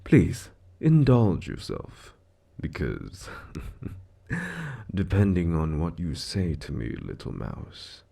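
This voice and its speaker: An actor playing a suave, smug devil who deals in souls, speaking with theatrical, condescending mock courtesy in a scripted audio roleplay. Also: male voice, sits low, very animated, slow pace, creaky, dark tone, exaggerated voice. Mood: silky menace. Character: suave, smug male devil, menacing soul-contract broker in Hell.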